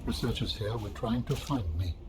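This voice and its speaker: A man voicing a calm, secretive alien digital being in a video game, with measured, formal phrasing. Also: fairly low pitch, natural voice. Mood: calm, guarded. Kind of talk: scripted game voice acting.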